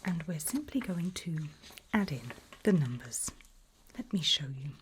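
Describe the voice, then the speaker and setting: A woman doing a whispered ASMR doctor roleplay, speaking breathily in gentle, reassuring, halting short phrases close to the microphone. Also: low voice, big pitch swings, slight background noise. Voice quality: breathy, mostly unvoiced whisper. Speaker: ASMR performer role-playing a doctor giving a memory test.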